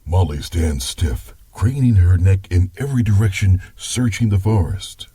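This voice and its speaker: A man with a husky voice narrating a scripted story in a dramatic style. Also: sits low, expressive, moderate pace, warm tone, dry recording.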